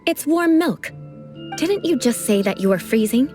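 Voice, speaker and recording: A woman's voice: on the high side, animated, normal speed, music in the background, close to an everyday voice. A woman voicing a proud, formal young noblewoman knight who mentors with dry, teasing remarks in a video game. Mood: cool. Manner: formal, haughty, dryly teasing. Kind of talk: game cutscene voice acting.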